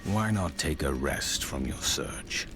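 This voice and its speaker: An actor playing a stern, resolute warrior in a fantasy video game, speaking bluntly and deliberately. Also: male voice, lively intonation, full character voice. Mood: questioning. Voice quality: very deep, gravelly. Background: music.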